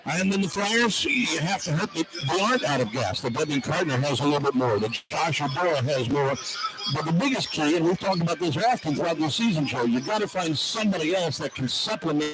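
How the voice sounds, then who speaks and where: A man with a high voice calls basketball as a radio play-by-play host. He is conversational, as if talking to a partner. Loud music plays behind him on the live broadcast. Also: animated, brisk, low-quality recording.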